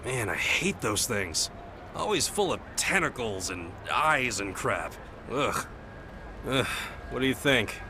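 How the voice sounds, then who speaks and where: An actor with an American accent playing a cocky, slangy, grumbling soldier in a video game cutscene. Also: male voice, mid-range voice, sing-song, slow, noisy background. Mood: disgusted, teasing. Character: cocky, gruff young male soldier, slangy thrill-seeker.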